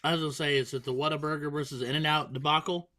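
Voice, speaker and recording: A man's voice: fairly high pitch, steady tone, fast talker, bright, forceful tone. A man commentating a darts tournament, joking and poking fun at himself. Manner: playful, self-mocking banter. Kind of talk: joking live sports commentary.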